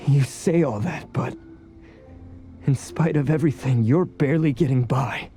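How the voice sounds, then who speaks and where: A man's voice: medium pitch, moderate pace, mellow, faint music behind, lightly acted. An actor playing a guilt-ridden, subdued young male outcast in a video game, speaking in a hesitant, somber way.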